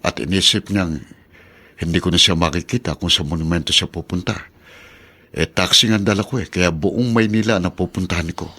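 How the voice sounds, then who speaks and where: A man's voice: deep voice, fairly quick, sharp tone, breathy edge, heavily acted. A man playing a worried, kindly middle-aged taxi driver in a radio drama, earnest and hesitant. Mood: concerned.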